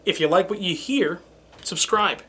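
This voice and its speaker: A man's voice: high-pitched, a little slow, bright, forceful tone, breathy edge. A man co-hosting a review show in a scripted but conversational, presenterly way. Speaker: show co-host.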